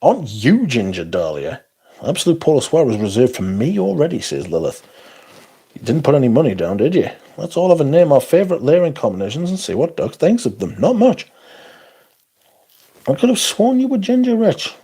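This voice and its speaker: A man's voice: on the high side, huge pitch swings, a little fast, mellow, husky. A man hosting a livestream, reading out chat and bantering in a sweary, sarcastic way.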